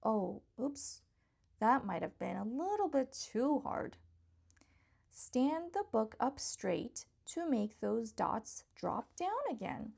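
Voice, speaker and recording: High, animated woman reading to young children. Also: slow, gravelly. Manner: encouraging, coaxing, addressing small children directly; playful, exclamatory reactions. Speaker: read-aloud host for young children. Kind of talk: interactive children's picture book read-aloud.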